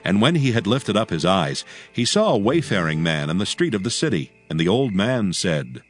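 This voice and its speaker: A man's voice: lowish voice, very animated, normal speed, faint music behind. A man narrating dramatized scripture in archaic English with a solemn storytelling manner.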